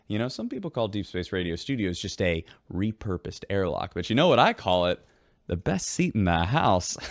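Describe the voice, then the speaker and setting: An animated, wry space radio DJ. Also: male voice, on the low side, no room sound, studio quality. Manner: wry, teasing, showy. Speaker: radio host. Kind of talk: sci-fi radio drama presenting.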